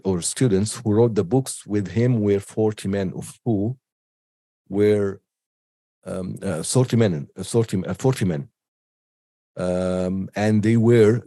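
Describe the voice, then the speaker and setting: A man giving an unscripted online lecture with a cold, halting often with ums and restarts. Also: on the low side, mellow, no room sound, clean studio sound. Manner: hesitant, many ums and restarts. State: has a cold. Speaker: teacher.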